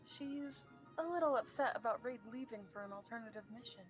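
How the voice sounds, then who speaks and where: A woman voicing a gentle, breezy female rabbit guildmaster in a comic dub. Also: fairly high pitch, brisk, soft background music, natural voice. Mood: kind, concerned.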